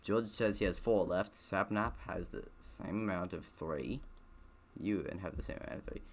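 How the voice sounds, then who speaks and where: A man's voice: low voice, normal speed. A man who streams games, reading a visual novel aloud, stumbling a little and dropping in offhand, self-deprecating comments. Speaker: gaming streamer.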